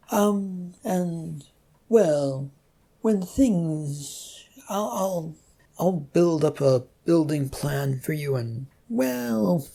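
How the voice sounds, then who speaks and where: A narrator playing a halting, gravelly mischievous god. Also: mid-range voice, mellow, exaggerated voice. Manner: halting, stammering, rambling delivery. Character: mischievous male god, rambling and self-satisfied.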